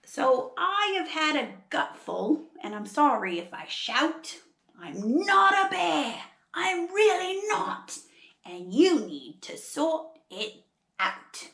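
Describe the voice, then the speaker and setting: A woman's voice: a little high, big pitch swings, slow, fairly bright tone, echoey room, full character voice. A woman voicing an indignant male koala from a children's picture book, complaining emphatically in rhyme with an attempted Australian accent. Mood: fed up. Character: indignant male koala fed up with being called bear.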